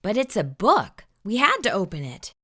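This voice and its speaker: A woman's voice: medium pitch, wildly swooping pitch, moderate pace, bright, forceful tone, slightly rough. A woman hosting a children's storytime, reading a picture book aloud expressively and putting questions to her young viewers. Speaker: children's storytime host and reader.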